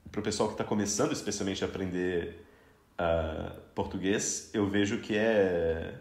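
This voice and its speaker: Man, 30s, from Brazil, podcast host, relaxed chatty talk, slightly low voice, echoey room. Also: unhurried, creaky. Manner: chatty, with frequent restarts and easy laughter. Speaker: podcast host interviewing a guest. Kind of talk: casual interview conversation.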